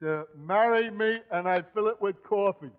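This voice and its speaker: An actor playing a hesitant, lovesick and melodramatic suitor in a 1940s radio comedy, speaking haltingly. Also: male voice, sits high, natural voice. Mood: lovesick, forlorn. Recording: tinny, noisy, reverberant old radio.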